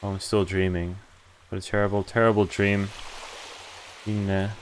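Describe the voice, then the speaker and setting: Low-voiced man streaming a game. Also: level tone, measured pace, slight background noise, rough recording. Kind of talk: live playthrough, reading on-screen story text aloud. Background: game music.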